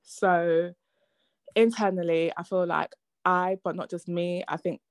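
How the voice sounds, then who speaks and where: Reflective woman in her thirties, low voice. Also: fairly even intonation, measured pace, no room sound. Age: 30s. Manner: reflective, thinks aloud with fillers and restarts. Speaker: podcast host. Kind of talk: casual conversation.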